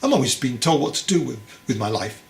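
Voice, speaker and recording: A man's voice: medium pitch, very animated, fast, reverberant. A man voicing a resentful, fragile young novice boy in a storybook, complaining emphatically. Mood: sullen, resentful. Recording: clearly noisy recording.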